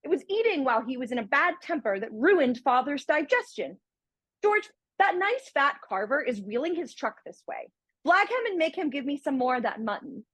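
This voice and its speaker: A woman playing a prim, teasing young heiress in a radio play, pert and quick to take offence. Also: high voice, very animated, no room sound. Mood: miffed.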